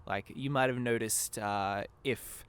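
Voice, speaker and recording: A man's voice: mid-range voice, unhurried, a bit bright, smooth. A man speaking as a guest in a casual interview, rambling in a self-deprecating way and breaking off mid-thought. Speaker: interview guest, fan abridged-series creator. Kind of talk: casual podcast interview chat.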